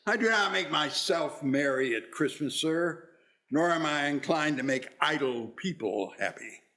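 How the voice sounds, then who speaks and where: A man's voice: a little high, animated, a little slow, sharp tone. A man playing a cold, miserly old businessman in a radio play, curt, sarcastic and scornful. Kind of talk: community theatre radio play acting.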